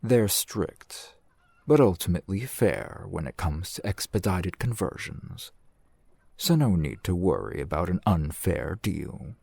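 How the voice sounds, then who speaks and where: A man's voice: deep voice, expressive, measured pace, gravelly, a little mellow, breathy edge, no room sound, full character voice. A man playing a courteous, shrewd fantasy shopkeeper in an ASMR roleplay, with formal, eloquent merchant patter. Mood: businesslike, courteous. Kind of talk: ASMR fantasy roleplay, softly acted one-sided dialogue.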